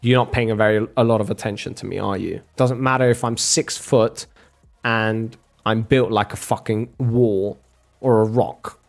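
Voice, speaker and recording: A man's voice: fairly low pitch, steady tone, moderate pace, creaky. A man in a casual argumentative rant, sarcastic and sweary, calling the listener bro. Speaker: streamer arguing with a chat viewer.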